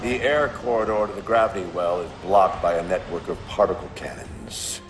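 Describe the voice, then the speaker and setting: A curt, low-voiced starship captain. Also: male voice, normal speed, music in the background. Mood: commanding. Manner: curt, commanding, dismissive. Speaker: ship captain. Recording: reverberant cutscene with score.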